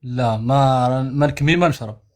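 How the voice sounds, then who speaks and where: A man's voice: medium pitch, moderate pace. A Moroccan man in his thirties on a phone video call into a matchmaking stream, giving brief, hesitant replies. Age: 30s. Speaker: suitor caller. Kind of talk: live-stream chat.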